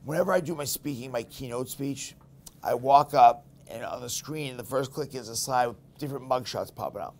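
A man's voice: mid-range voice, fairly quick. A man with a Boston accent hosting a podcast, talking bluntly and candidly in casual conversation. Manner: blunt, candid, animated storytelling. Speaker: podcast host.

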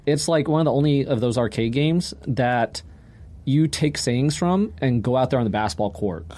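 A man's voice: mid-range voice, normal speed, dark tone. A man co-hosting a podcast, chatting casually and explaining things enthusiastically in slangy language. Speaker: podcast co-host. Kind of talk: casual nostalgic chat.

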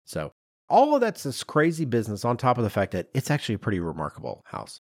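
A man's voice: medium pitch, wildly swooping pitch, quick pace, darkish tone, no room sound, clean studio sound. A man, telling stories as a podcast co-host in an emphatic, self-deprecating, conversational way. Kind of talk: animated storytelling in casual podcast chat.

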